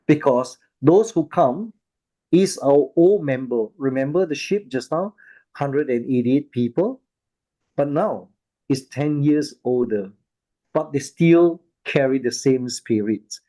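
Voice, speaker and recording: A man with a Malaysian accent speaks as a volunteer at an informal talk, sharing personal anecdotes. His voice is mellow and relaxed, at a middling pitch. His phrasing is halting and often circles back to repeat itself. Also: poor audio. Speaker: volunteer speaker at an online Buddhist charity talk.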